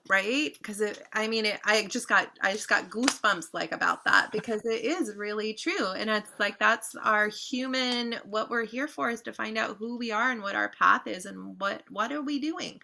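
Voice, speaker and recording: A woman mentor in casual conversation, speaking in an effusive, animated way. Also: mid-range voice, gravelly.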